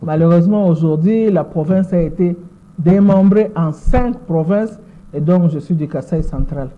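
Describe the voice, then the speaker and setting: A woman in her sixties with a Congolese French accent, giving measured answers as an interview guest and often repeating words while she searches for them. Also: fairly low pitch. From Democratic Republic of the Congo. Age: 60s. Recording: tinny phone-like sound.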